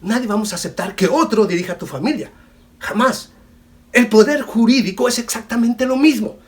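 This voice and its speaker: Emphatic high-voiced Mexican commentator. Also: male voice, moderate pace. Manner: emphatic, repetitive, addresses the viewer directly; rhetorical questions and homely examples. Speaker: political commentator. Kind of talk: solo video commentary.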